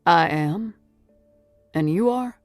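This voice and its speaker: A woman reads a guarded American federal agent in an audiobook. He is dry and laconic, with a wry edge and a fairly low voice. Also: animated, takes it slowly, creaky, no echo, faint music behind, full character voice. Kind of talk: audiobook character voice.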